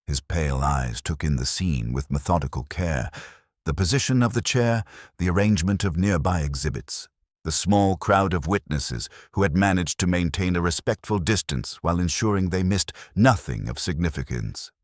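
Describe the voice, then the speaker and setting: A man narrates a period mystery in an extremely low, husky voice. His delivery is measured and formal with a wry edge, and his pitch moves about freely within that deep register. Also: animated, dry recording, clean studio sound.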